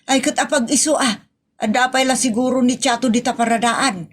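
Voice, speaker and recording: A teasing, nagging mother and wife acted by a woman in a comedy audio drama. Her voice sits fairly high for a woman. She scolds expressively, in the manner of a family farce. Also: fairly quick, natural voice. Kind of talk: Ilocano comedy radio-style drama acting.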